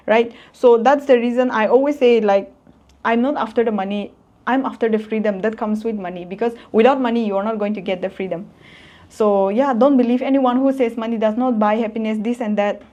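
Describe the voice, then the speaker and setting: A woman's voice: a little high, a little mellow. A woman in her twenties talking straight to camera in a casual motivational vlog, earnest, blunt and emphatic. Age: 20s. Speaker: vlogger.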